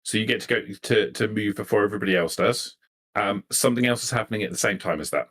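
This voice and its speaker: A man acting as game master, narrating a tabletop game in a halting, improvised way and repeating phrases as he thinks. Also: lowish voice, a bit bright.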